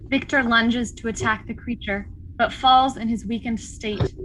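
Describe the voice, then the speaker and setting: A woman reading stage directions as scripted narration, in a measured, dramatic way. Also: fairly high pitch, steady tone, fairly bright tone, a little hiss or hum, poor audio.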